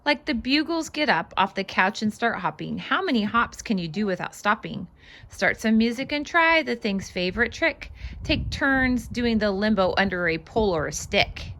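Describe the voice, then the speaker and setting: Animated woman reading to children, lively pitch. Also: moderate pace, a little hiss or hum. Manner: animated, sing-song rhyming delivery. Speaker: children's read-aloud host and fitness instructor. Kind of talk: expressive storybook read-aloud for children with casual asides.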